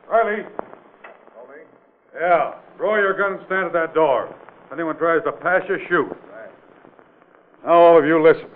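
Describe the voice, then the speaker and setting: A man acting as a hard-driving investigator in an old radio crime drama. His voice sits fairly high for a man and pushes with brusque authority. The sound is thin and band-limited, like a vintage broadcast. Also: narrow, tinny audio, a little hiss or hum, lightly acted. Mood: commanding. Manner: authoritative, brusque, insistent rhetorical questioning. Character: forceful, commanding male state investigator exposing a killer.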